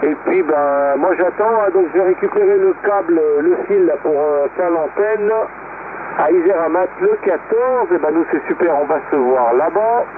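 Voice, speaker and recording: A man's voice: a little high, normal speed. A man chatting casually as an amateur radio operator over shortwave, rambling and hesitant, with frequent tag words. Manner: hesitant, rambling, punctuated with "hein" tags. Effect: shortwave radio transmission. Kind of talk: casual ham radio chat with callsigns. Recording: narrow-band shortwave radio, clearly noisy. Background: radio static and noise.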